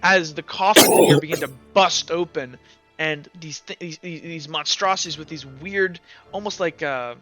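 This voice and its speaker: A man working as game master, improvising recap and scene narration for a tabletop game in a halting way, circling back over his phrases. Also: on the high side, a bit bright, faint music behind.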